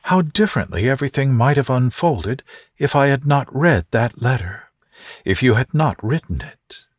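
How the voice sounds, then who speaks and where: A man voicing a witty, tender young gentlewoman in love in a soft, slow bedtime storybook. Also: mid-range voice, expressive, no room sound, clean studio sound, pitched above the performer's own voice, natural voice. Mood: thoughtful. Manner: soft, playful, slow and deliberate. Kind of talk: soothing bedtime storybook dialogue.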